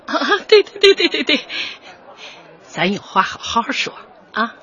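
Folksy middle-aged auntie in a comedy radio play, bright high voice, exclaims a lot, smooths things over. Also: female voice, very animated, measured pace, lo-fi sound, full character voice. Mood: amused, soothing. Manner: folksy, chatty, exclamatory, conciliatory. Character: bubbly, peacemaking older neighbourhood woman. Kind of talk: light comedy radio drama acting.

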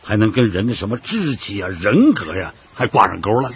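An actor playing a curious, genial old man in a radio drama, folksy and inquisitive with drawn-out phrases. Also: on the low side, big pitch swings. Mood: curious, doubtful. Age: older. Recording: muffled lo-fi broadcast with music behind.

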